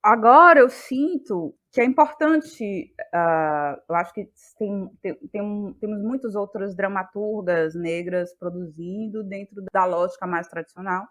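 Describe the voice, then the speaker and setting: A woman with a Bahian Brazilian accent talking reflectively in an interview, feeling her way haltingly through her thoughts. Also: mid-range voice, fairly bright tone. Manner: thoughtful, halting, searching for words. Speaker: guest, playwright and actress.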